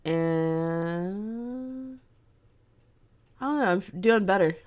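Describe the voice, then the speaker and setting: A young woman streamer chats casually with her viewers in an unhurried, drawn-out way. Her voice sounds congested and sniffly from a lingering cold. She greets people by name and laughs easily between thoughts. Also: medium pitch, darkish tone. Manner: slow, chatty, greets chat by name, laughs often. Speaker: streamer host. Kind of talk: casual livestream chat.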